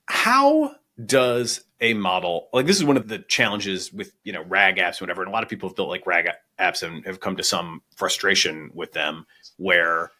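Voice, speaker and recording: Bright, low-voiced male podcast host. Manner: discursive, thinking aloud in long questions. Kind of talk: conversational podcast interviewing.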